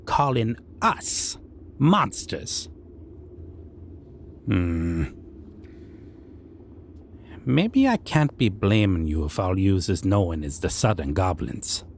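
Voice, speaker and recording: Goblin king, civilized yet menacing, broken grammar, very drawn-out delivery, noisy fantasy audio roleplay. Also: low voice, big pitch swings, exaggerated voice. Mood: wry. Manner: broken grammar, musing hums and chuckles. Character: proud male goblin king of the north, civilized, amused, menacing.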